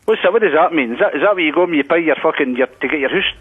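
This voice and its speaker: A man's voice: a little high, expressive, rapid-fire, husky. A man from Glasgow with a Glaswegian accent, arguing bluntly and combatively with lots of swearing over the phone. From Glasgow, Scotland. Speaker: prank call victim. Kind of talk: heated phone argument. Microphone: telephone. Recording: muffled phone line, broadcast on radio.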